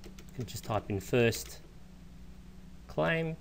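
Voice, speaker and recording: A man giving an informal, step-by-step software walkthrough, explaining as a screencast instructor. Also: medium pitch, expressive, slow, creaky, slight background noise. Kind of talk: step-by-step screencast tutorial narration.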